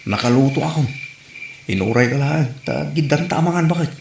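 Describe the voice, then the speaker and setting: A man's voice: medium pitch, expressive, fairly quick, creaky, darkish tone. A man with an Ilocano accent playing a devoted, needy husband in an audio comedy drama. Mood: coaxing. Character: devoted, needy husband coaxing his working wife. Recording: roomy echo, faint background noise.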